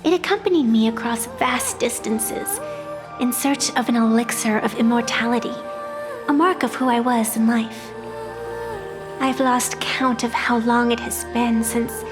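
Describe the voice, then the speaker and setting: A woman's voice: on the high side, close to an everyday voice. A woman voicing a playful, teasing ancient tree spirit who oversees deadly games, in a game cutscene. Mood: wistful. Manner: teasing, amused, mocking. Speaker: game character. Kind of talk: video game voice acting. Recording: game cutscene with reverb and music.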